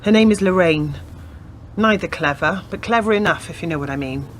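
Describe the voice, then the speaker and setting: Actress doing earnest probing lady detective, radio play, kinda low voice, slight background noise. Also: a little fast, close to an everyday voice. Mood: factual, earnest. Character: persistent young female police detective, earnest, probing.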